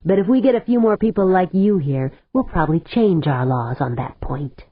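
A woman's voice: on the low side, lively intonation, normal speed, breathy edge. A woman voicing a stern male leader who speaks in a cold, seething way. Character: stern male colony leader, barely holding back fury. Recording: tinny phone-like sound.

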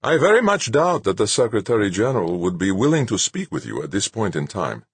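A man's voice: fairly low pitch, sing-song, a little fast, breathy edge, no echo, voice pushed up, full character voice. A man voicing a suave, decadent middle-aged power broker in an audiobook, reedy but melodious, with patient, mocking banter. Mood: smoothly evasive. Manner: bantering, unruffled.

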